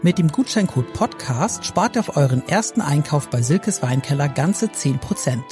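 A man reading a scripted advertisement in a smooth, promotional delivery. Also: a little high, animated, moderate pace, music in the background. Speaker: ad announcer. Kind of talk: scripted ad read.

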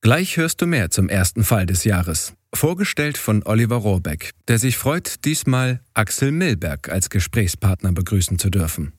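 A man's voice: fairly low pitch, sing-song, moderate pace, few pauses. A man reading a scripted introduction as an announcer.

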